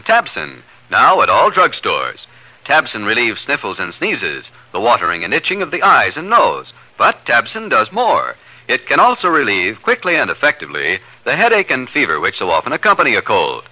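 Very animated, forceful vintage radio announcer man. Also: mid-range voice, poor audio. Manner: emphatic, spells out words. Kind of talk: 1950s scripted radio ad announcing. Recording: vintage 1950s radio broadcast.

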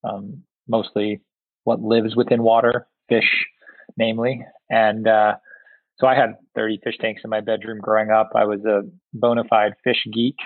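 A man who grew up in Singapore, a company founder answering interview questions in a halting way, repeating words and pausing often. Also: on the low side, flat delivery, no room sound. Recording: tinny phone-like line.

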